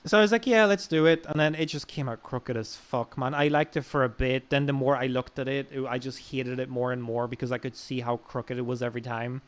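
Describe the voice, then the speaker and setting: A man's voice: medium pitch, fairly even intonation, brisk, hardly any pauses. A young man with an Irish accent telling personal stories in a casual, informal, sweary chat as a podcast guest. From Ireland.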